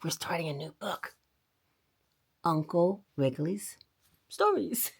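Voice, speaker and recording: A woman storyteller hosting a read-aloud for children, talking directly to her young listeners in an expressive, animated way. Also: lowish voice, slow pace, vocal fry, husky.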